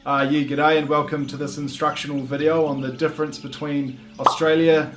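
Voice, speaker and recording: Deadpan New Zealand man, fairly high. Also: soft background music. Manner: halting, full of "um"s, deadpan. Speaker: comedic video host. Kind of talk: deadpan comedy monologue.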